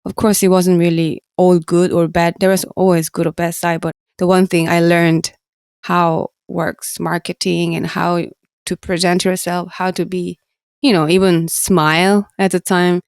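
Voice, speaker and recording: Soft-spoken Korean woman musician, dry mic. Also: lowish voice, flat delivery, clear, resonant tone, dry recording. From South Korea. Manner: halting, earnest, laughs often, simple phrasing. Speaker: guest musician. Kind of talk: casual interview talk.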